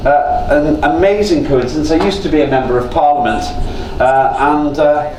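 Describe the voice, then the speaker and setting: Joking speaker in an echoey conference hall. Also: male voice, a little high, moderate pace, a little mellow. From Northern Ireland. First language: Estonian. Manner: joking, self-deprecating, chatty with the audience. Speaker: guest speaker. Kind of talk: humorous conference talk. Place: large conference room. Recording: echoey room, mic at a distance, noisy.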